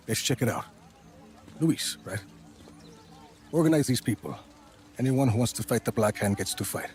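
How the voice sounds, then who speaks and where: A man playing a cool, gruff, reluctant action hero in a video game, laconic and understated. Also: medium pitch, expressive, normal speed, a little mellow, close to an everyday voice. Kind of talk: video game cutscene acting.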